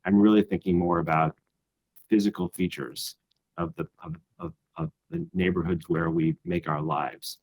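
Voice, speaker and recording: A man from Chicago in his forties giving a measured, thoughtful conference talk, often pausing on "uh" and restarting his phrases. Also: low voice, fairly even intonation, darkish tone, poor audio. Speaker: conference speaker.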